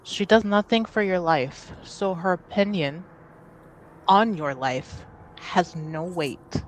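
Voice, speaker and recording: A woman roleplaying in a tabletop game, speaking earnestly and deliberately, with narrated asides. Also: fairly low pitch, a little hiss or hum, low-quality recording.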